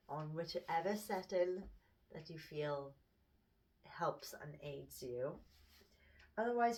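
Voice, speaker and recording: A woman teaching a guided yoga class, speaking in calm, gentle cues that sometimes drop to a whisper, her nose stuffy from hay fever. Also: on the low side, distant mic. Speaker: yoga instructor.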